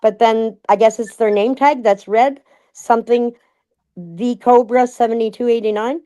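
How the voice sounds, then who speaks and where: A woman in a casual livestream discussion, thinking aloud with many restarts and reading passages out slowly. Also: on the high side, warm tone.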